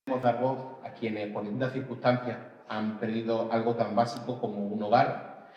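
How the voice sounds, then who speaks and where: A man's voice: mid-range voice, little pitch movement, moderate pace. A man giving a formal public speech as a city official, in a declamatory manner. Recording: echoey hall, dull sound.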